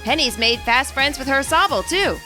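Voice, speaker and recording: A woman narrating a game playthrough as a story, expressive and informal. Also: high-pitched, normal speed, soft background music. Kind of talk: storytelling narration with character voices.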